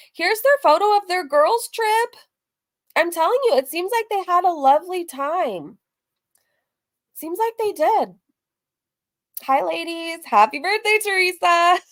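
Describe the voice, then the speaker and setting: Female reaction host: slightly high pitch, casual, mocking commentary, dry room sound. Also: no echo. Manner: teasing, mocking. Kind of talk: casual commentary to live viewers.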